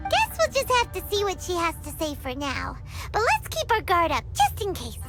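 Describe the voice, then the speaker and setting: A woman voicing a childlike floating fairy companion in an anime game, perky, chatty and cutesy with a squeaky tone. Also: huge pitch swings, moderate pace, full character voice. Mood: explanatory, wary. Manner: cutesy, speaks of self in third person. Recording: clean voice under prominent game music.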